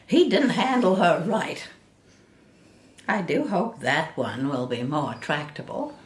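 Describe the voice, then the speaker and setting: An actress playing a snobbish, gossiping high-society lady in a radio drama, drawling with disdain. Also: animated, slow. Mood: smug, gossipy. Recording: echoey room, background ambience.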